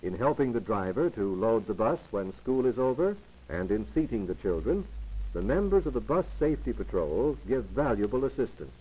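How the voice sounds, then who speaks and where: A man reading scripted narration for a 1940s instructional film in a didactic, formal, measured manner. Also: on the low side, very animated, warm tone, husky. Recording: muffled, lo-fi 1940s 16mm film soundtrack.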